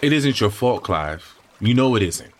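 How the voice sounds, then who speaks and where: A man's voice: on the low side, big pitch swings, unhurried. A man playing a grieving father and brother with clipped, emotional delivery in an audio drama. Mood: subdued, regretful. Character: grieving father, mild-mannered brother turning angry.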